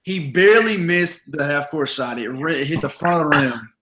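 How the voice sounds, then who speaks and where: A young man with a raspy voice, hosting a casual sports podcast and telling stories in an energetic, chatty way full of fillers. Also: a little high, moderate pace. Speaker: podcast host.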